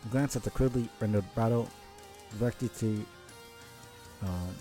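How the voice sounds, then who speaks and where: A man with a low, mellow voice reads narration aloud for a live stream. He moves between scripted lines and offhand remarks in a halting way, with some music playing behind him. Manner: halting, stumbles and rereads words. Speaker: streamer reading a webcomic aloud.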